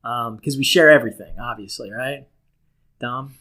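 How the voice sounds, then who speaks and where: A man co-hosting a podcast with a very bright, forward voice. He chats casually and irreverently, joking crudely and swearing as he goes. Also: mid-range voice, normal speed. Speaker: podcast co-host. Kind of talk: casual comedic banter with swearing.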